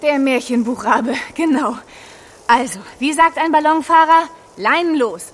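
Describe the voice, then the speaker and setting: A high-voiced, chatty plucky reporter. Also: female voice, a little slow. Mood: chatty, adventurous. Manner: lively, chatty, theatrical. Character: chatty, plucky woman book reporter and balloon adventurer. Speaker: host of a children's audio series. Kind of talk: children's radio play acting.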